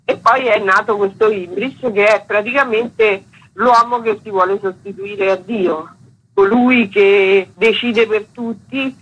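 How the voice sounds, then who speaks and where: A woman with a raspy voice being interviewed as a theatre artist, explaining her work and pausing to search for words. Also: medium pitch, fairly bright tone, low-quality recording. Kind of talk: reflective interview talk. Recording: radio interview broadcast.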